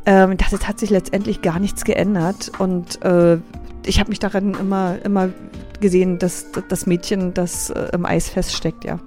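A middle-aged woman from East Berlin with an East Berlin accent, telling stories as a radio guest, lively, with many fillers and restarts. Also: mid-range voice, moderate pace, soft background music. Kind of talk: casual radio interview.

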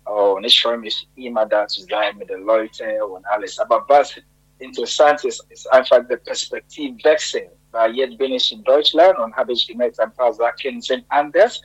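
A man's voice: medium pitch, normal speed, breathy edge. Man from Ghana, dull radio sound. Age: 30s. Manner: relaxed, repeats words, simple German with slips. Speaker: musician guest. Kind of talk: radio interview.

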